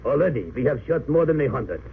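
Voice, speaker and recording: An actor playing a cold, haughty German army lieutenant in an old radio drama, stern, formal and sneering. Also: male voice, medium pitch, animated, fast talker, close to an everyday voice. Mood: menacing, icy. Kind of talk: 1930s-40s radio drama acting. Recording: tinny, hissy old radio recording.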